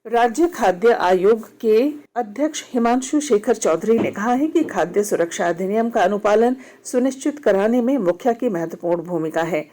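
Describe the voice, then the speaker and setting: Indian lady newsreader, formal scripted bulletin, mellow voice, mid pitch. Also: animated, normal speed, few pauses, a little hiss or hum. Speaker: radio news reader.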